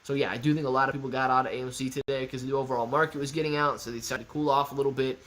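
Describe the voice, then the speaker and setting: A man in his thirties hosting a live stock market Q&A, reading viewer chat with playful, animated banter. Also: mid-range voice, rapid-fire. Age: 30s.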